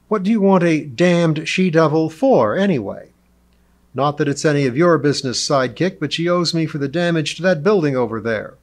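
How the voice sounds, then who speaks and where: A man voicing an arrogant, indignant male superhero in a storybook reading, loud, snappish and growling. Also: fairly high pitch, expressive, moderate pace, voice pushed up, close to an everyday voice.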